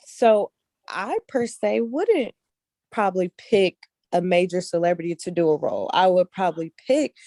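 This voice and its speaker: Mellow, low-pitched woman chatting. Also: normal speed, dry recording. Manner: conversational, emphatic repetition, often checks listener follows. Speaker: interview guest, casting director and actress. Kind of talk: casual interview conversation.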